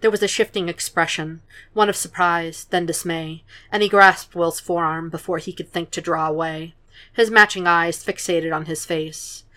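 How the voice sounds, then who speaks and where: A woman narrating a story with a low, quiet voice. Her reading is slow and measured, like a careful audiobook. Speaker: podfic reader. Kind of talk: audiobook narration of fan fiction.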